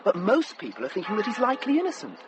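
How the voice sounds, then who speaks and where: An actor playing an eager, frank young monk in a radio drama, earnest and quick to explain. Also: male voice, high-pitched, wildly swooping pitch, background noise. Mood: earnest, curious.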